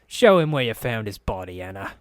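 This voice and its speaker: A man voicing a menacing older man on a crutch, quiet, silky and dangerous. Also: on the low side, big pitch swings, a little fast, no room sound, studio quality.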